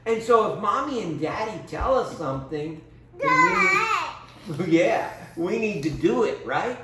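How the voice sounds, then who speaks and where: A man's voice: sits high, wildly swooping pitch, a little slow. A man giving a children's Bible lesson as storyteller, speaking simply and instructively and putting questions to small children. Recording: roomy, distant mic with background noise.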